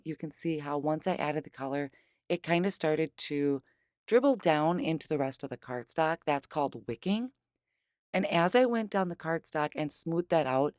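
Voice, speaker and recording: A woman with a hoarse, strained voice from losing it, patiently explaining each step of a craft tutorial in a voice-over. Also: low-pitched, darkish tone, no echo, clean studio sound. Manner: explains steps patiently and plainly. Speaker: craft demonstrator.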